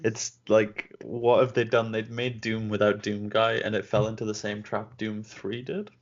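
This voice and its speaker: A man's voice: fairly low pitch, level tone, moderate pace. A young man co-hosting a casual podcast chat, blunt and swearing, laughing often. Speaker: podcast co-host. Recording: dull lo-fi audio.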